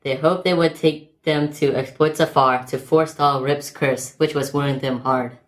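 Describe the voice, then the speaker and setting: Low-voiced storybook narrator played by a woman. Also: fairly even intonation, close to an everyday voice. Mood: storytelling. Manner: measured, old-fashioned rhyming storytelling. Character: storybook narrator telling a tale in rhyme.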